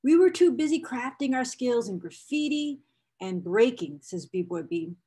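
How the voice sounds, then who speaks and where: A woman reads aloud in a somewhat high voice at a markedly slow pace. She is enthusiastic and invested, repeats phrases for effect, and breaks off for casual asides to her viewers. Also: expressive, dark tone, breathy edge. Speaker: presenter reading a book excerpt aloud.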